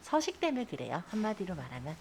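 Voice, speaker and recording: A woman's voice: on the low side, sing-song, fast, a little hiss or hum. A woman instructor giving a step-by-step spreadsheet lesson, explaining and often checking in with short tag questions. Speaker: computer skills instructor.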